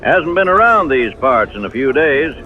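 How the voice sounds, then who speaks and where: An actor playing a teasing, boastful frontier saloon regular in a radio drama, talking in a folksy manner. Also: male voice, mid-range voice, big pitch swings, moderate pace, dull audio, lightly acted.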